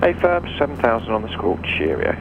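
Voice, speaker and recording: A man's voice: mid-range voice, huge pitch swings, fairly quick. A man working as an air traffic controller, giving clipped procedural instructions over aviation radio. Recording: muffled lo-fi radio with background noise.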